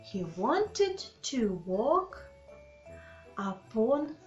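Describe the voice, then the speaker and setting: A woman reading a children's bedtime story aloud in an expressive, deliberate way, with asides to young listeners. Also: on the high side. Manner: slow, deliberate, animated phrasing with questions to the child listeners. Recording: slightly dull, lo-fi sound with music.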